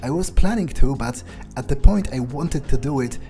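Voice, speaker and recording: A man speaking English as a second language talks through his thoughts on a game in a loose, halting way, often stopping to restart a phrase. His voice sits at an ordinary middle pitch for a man. Clear guitar music plays underneath him the whole time. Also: expressive, warm tone. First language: not English. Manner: halting, rambling, frequent restarts. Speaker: gamer, channel host. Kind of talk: casual out-of-character recap.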